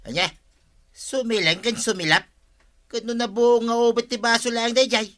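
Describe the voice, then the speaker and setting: Put-upon husband for radio comedy, Ilocano accent, tense bright voice, grumbling retorts. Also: male voice, extremely high pitch, steady tone, normal speed. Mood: defensive, exasperated. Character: exasperated, defensive husband of a nagging wife. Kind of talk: radio comedy drama acting.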